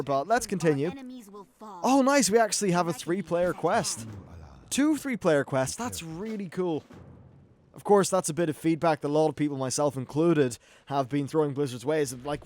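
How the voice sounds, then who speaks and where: A man giving casual live-play game commentary in a chatty, wry, casually sweary way. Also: a little high. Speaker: gaming video host. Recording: clear background noise and game ambience.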